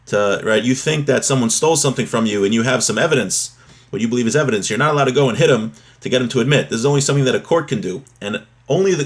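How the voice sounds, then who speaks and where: Guy teaching a religious class, reads a bit then explains it, repeats stuff in other words, medium pitch. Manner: explanatory, repeats and rephrases points. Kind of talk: text lesson, reading and explaining.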